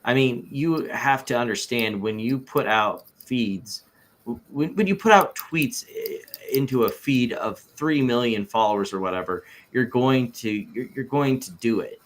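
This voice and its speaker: A man reading the part of an evasive businessman witness in an amateur deposition read-through, halting and repeating himself. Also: mid-range voice, natural voice. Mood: defensive, evasive.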